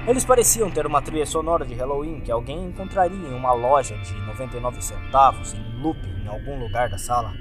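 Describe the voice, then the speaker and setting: A man's voice: medium pitch, lively intonation, fairly quick, few pauses. A man with a Brazilian accent reading a scripted first-person horror story with dramatic delivery, as narrator. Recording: background music under the voice. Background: music.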